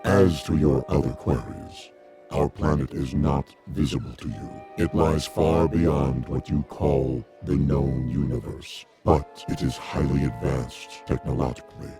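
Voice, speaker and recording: An actor playing a courteous giant alien emissary in a radio drama, speaking formally with measured, polite detachment. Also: male voice, rumbling low, fairly even intonation, loud music behind the voice.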